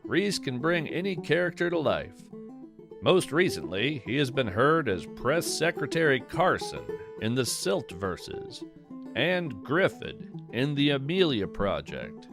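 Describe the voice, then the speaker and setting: A man reading scripted podcast credits as host, in a measured and articulate way. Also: mid-range voice, very animated, vocal fry, music in the background.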